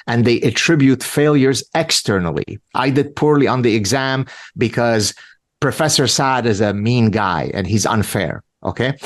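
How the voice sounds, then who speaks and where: A man's voice: medium pitch, normal speed. A man from Lebanon, a native Arabic speaker in his fifties, answering in an interview in an emphatic, lecturing way with rhetorical questions. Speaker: guest commentator.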